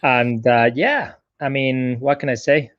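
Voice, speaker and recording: A man's voice: medium pitch, measured pace, highs cut off. A man with a Spanish accent, guest on a live stream, talking casually from his sofa at home, hesitant with many fillers. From Spain. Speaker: guest digital marketer.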